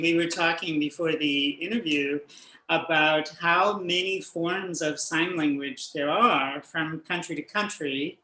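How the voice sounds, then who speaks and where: An interview host speaking slowly and deliberately in a mid-range voice. They read out viewer questions in a measured way and nudge the guest along with soft listening sounds. The room around them gives off a noticeable echo. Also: medium pitch, slight background noise. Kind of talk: hosting a live-streamed interview.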